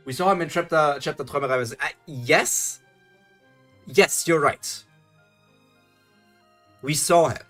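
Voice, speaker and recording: A man's voice: fairly high pitch, slow, long pauses, sharp tone, soft background music. Chatty German man on stream. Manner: chatty, thinks aloud, restarts and chuckles, answers chat. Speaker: streamer reading webtoons live. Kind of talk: live reaction stream, reading chat.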